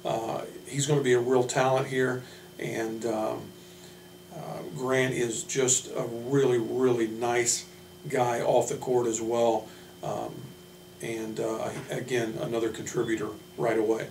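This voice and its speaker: A man speaking as a basketball coach, giving informal player introductions in a measured, hesitant way with frequent pauses. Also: medium pitch, level tone, gravelly, darkish tone. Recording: roomy, distant mic, faint hum.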